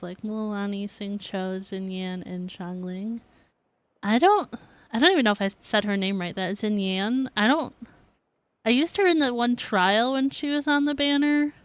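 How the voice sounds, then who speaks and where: A woman's voice: medium pitch, clean tone, dry recording. A woman chatting casually to viewers as she streams a game. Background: game music.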